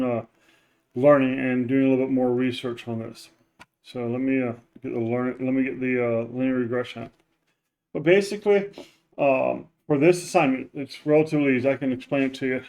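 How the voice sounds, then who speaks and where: A man teaching as a professor in a loose, informal recorded lecture, with many fillers and restarts, his voice run through a neural normalizing filter. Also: darkish tone. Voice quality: says his voice is very deep. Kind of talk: casual recorded class lecture.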